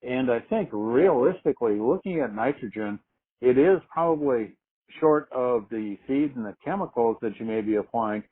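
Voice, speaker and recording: A man presenting on a business conference call, explaining things in a measured, deliberate way and posing rhetorical questions. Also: mid-range voice, lively intonation, slightly rough. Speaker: agricultural marketing manager presenting on a conference call. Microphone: telephone conference call. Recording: narrow, phone-like conference line.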